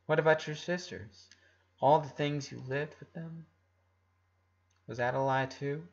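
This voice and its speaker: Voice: mid-range voice, steady tone, unhurried, creaky, highs cut off. A narrator voicing an upset, confrontational preteen girl with emotional, pleading outbursts in an audiobook-style story. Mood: hurt, accusing.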